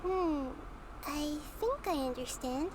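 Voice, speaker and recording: A woman voicing a small girl deity in a game, gentle, wise and thoughtful. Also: high-pitched, lively intonation, takes it slowly, heavy background noise. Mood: calm, reassuring. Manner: soft-spoken, thoughtful, articulate.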